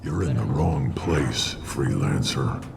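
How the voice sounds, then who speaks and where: An actor voicing a surly, menacing alien gang boss in a video game, gruff, curt and contemptuous, with a gravelly voice. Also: male voice, extremely low pitch, animated, slow pace, exaggerated voice. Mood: curt, dismissive. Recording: noisy game ambience behind.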